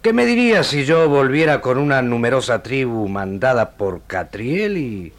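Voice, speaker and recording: An actor playing a sly, cocky gaucho villain with a rural Argentine accent and a taunting, folksy way of talking in an old radio drama. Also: male voice, mid-range voice, very animated, normal speed. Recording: noisy old radio archive tape.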